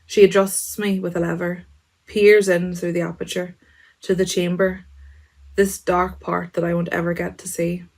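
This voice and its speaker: A woman reading her translated poem aloud in a measured way, softening at the ends of lines. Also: on the low side, little pitch movement, creaky. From Ulster, Ireland. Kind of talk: slow poetry reading.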